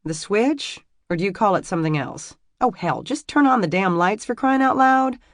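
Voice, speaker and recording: A narrator voicing a flustered, sharp-tongued young woman doctor who bursts out in rapid, exasperated lines in an audiobook. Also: mid-range voice, expressive, dry recording, studio quality. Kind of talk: audiobook character voice.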